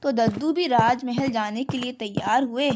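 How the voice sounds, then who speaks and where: A woman's voice: on the high side, normal speed, heavy background noise. A woman narrating a scripted children's story as the storyteller.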